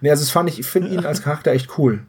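Slightly husky man explaining in detail. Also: on the high side, rapid-fire, darkish tone. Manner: analytical, explaining in detail with self-corrections. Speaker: podcast co-host. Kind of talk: casual discussion retelling a story.

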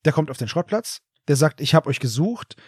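A man's voice: fairly high pitch, animated, brisk, a little mellow, slightly rough, no echo, clean studio sound. A man co-hosting a casual podcast chat, laying out plot details and reading passages from a book. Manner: explains plot details, reads aloud from the book. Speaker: podcast co-host.

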